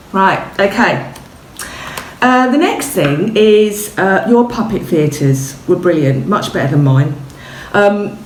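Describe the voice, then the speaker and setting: A roomy, slightly distant recording with faint noise carries a woman teaching young children. She talks in a chatty, informal show-and-tell way. Her explanations are simple, and she often checks that listeners are following. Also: medium pitch. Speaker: primary school teacher.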